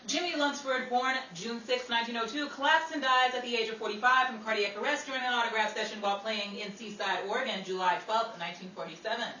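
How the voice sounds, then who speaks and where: A woman's voice: a little high, fairly even intonation, fast, few pauses, bright, forceful tone. A woman presenting a scripted history segment like an old-time newsflash, with formal, theatrical phrasing. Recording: echoey, distant, faintly noisy.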